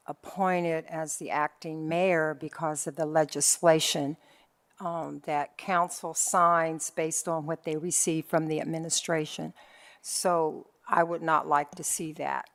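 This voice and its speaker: A woman on a city commission, giving her view at a public meeting in a slow, deliberate way. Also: deep voice. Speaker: commission member.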